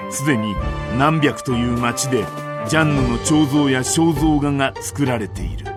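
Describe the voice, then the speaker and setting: Solemn soldier narrator, slow and deliberate. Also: male voice, mid-range voice. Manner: solemn, measured delivery. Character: solemn, devoted male French soldier telling war history. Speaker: campaign narrator. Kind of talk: dramatic scripted game narration.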